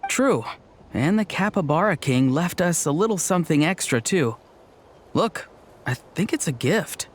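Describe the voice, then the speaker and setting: An actor playing a teasing, mischievous young man in a video game, delivering lines with deadpan, mock-grand seriousness. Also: on the high side, unhurried, a little hiss or hum. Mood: pleased. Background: faint game background music.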